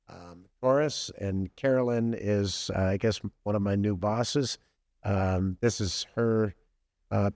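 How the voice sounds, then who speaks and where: A man hosting a podcast in a relaxed way, with many hesitant ums and uhs. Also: on the low side, no room sound, clean studio sound. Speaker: podcast host. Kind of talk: casual podcast interview.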